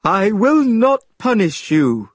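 A man voicing a stern old male immortal teacher, speaking slowly in drawn-out pronouncements. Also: sits high, sing-song, slightly rough, no echo, clean studio sound, voice pushed up, full character voice. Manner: slow, drawn-out lofty pronouncements.

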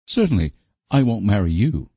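A man's voice: medium pitch, big pitch swings, normal speed, dark tone, breathy edge, dry recording, studio quality, pitched above the performer's own voice, full character voice. A man voicing a polite, dignified young woman schoolteacher in a storybook, with a proper, prim manner. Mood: indignant. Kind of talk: audiobook character voice.

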